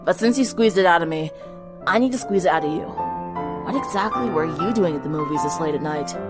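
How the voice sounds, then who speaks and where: A woman's voice: fairly low pitch, lively intonation, fairly quick, lightly acted. Snarky teen ex-siren girl, female reader in character: brash, dismissive, storybook drama with prominent music behind. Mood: gruff, teasing. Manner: snappish, dismissive, grudgingly candid. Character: brash, snarly, sarcastic teenage former-siren girl. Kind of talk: dramatic fan-fiction reading.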